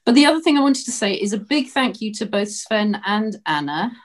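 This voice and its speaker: A woman's voice: mid-range voice, animated, brisk. A woman from Britain giving earnest, outspoken welcoming remarks as co-host of an online discussion over a video call.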